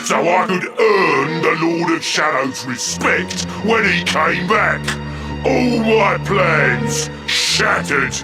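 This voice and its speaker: A pompous video game monster boss, scheming and quick to rage. His pitch swings wildly as he draws out his words theatrically at a very slow pace, breaking into shouts, with loud music behind him. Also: expressive. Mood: furious. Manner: theatrical, gloating, drawn-out delivery with sudden shouts. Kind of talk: video game cutscene acting.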